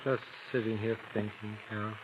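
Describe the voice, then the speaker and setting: An actor playing a haunted, guilt-ridden old man turning vengeful in a vintage radio drama, halting and intense. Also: fairly low pitch, flat delivery, lightly acted. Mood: numb, brooding. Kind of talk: 1940s-50s radio acting. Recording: dull vintage radio recording, faint noise.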